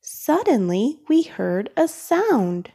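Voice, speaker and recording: A woman reading a children's storybook aloud in a careful, expressive way for young listeners. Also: high voice, slow pace, slightly rough. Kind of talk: read-aloud storybook narration.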